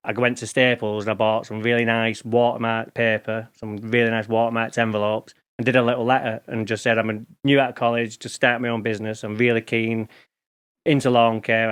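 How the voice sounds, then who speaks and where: A man's voice: on the low side, fairly bright tone, clear, resonant tone. A man in his forties with a Lancashire accent, a podcast guest telling candid, rambling stories about his working life. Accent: Lancashire English. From England. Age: 40s. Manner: rambling, candid storytelling with restarts. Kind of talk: casual interview chat.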